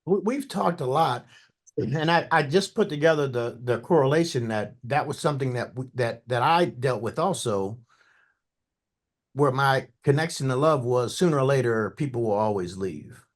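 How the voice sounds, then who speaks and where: A man hosts a conversation podcast in a relaxed interviewing manner. He reflects aloud between his questions and restarts his words now and then. His voice sits at a middling pitch. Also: normal speed. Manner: warm, reflective, with false starts. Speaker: podcast host. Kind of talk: casual interview.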